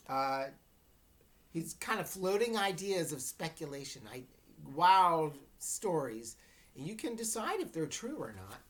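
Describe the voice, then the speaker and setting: A woman with a low voice teaches an informal reading lesson. She speaks slowly and explains as she goes, checking that her students are following along. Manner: unhurried, explanatory, checks understanding. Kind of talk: informal read-aloud lesson.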